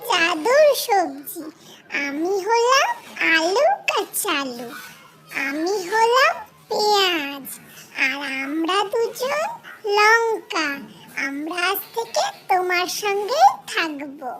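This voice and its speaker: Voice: very high voice, very animated, slow, pushed and bright, a little hiss or hum, exaggerated voice. A performer voicing cheerful, playful magical vegetables with a singsong manner in a children's cartoon. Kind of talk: children's cartoon character voices.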